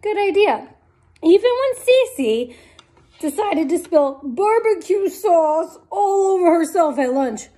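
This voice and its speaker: A woman's voice: extremely high pitch, sing-song, slow pace, warm tone. A woman in her thirties reading a picture book aloud expressively to children, speaking to them directly. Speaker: children's story time reader. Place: small room indoors at home.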